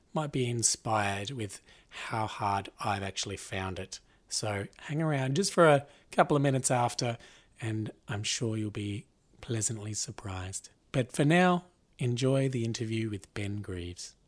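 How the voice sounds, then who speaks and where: A young man hosts a casual podcast from a lounge room at home. His voice is slightly husky and breathy, at an everyday pitch. He chats loosely, laughing and starting over often. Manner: chatty, laughing, restarting often. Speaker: podcast host. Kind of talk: casual chat.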